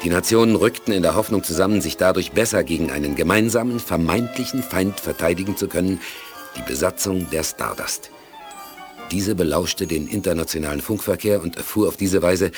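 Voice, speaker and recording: A male narrator for a 1980s German radio play, with a deep, low voice. He reads scripted drama solemnly and deliberately, with music faintly behind him. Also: lively intonation.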